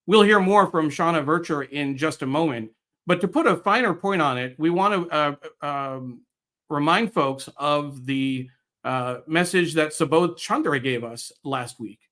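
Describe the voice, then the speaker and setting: Higher-voiced American man hosting a live show. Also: a little slow, fairly bright tone. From United States, New York area. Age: middle-aged. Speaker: guest host of a live online show. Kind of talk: live show introduction, reading viewer comments.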